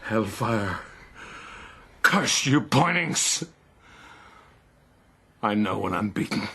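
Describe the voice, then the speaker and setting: An actor playing a boastful, reckless gentleman with a gruff voice, quick to curse, in a period radio drama. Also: on the low side, big pitch swings, exaggerated voice. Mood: angry.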